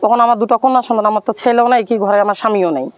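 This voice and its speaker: A woman's voice: a little high, rapid-fire. A woman with a Bangladeshi accent, earnestly and plaintively telling her life story and appealing to listeners. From Rangpur, Bangladesh. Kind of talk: personal account and plea to viewers.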